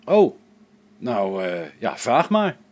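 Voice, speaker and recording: A man playing a skeptical, wary engineer on a space station in a science fiction radio play, speaking in an informal, expressive way. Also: mid-range voice, slow pace. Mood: puzzled.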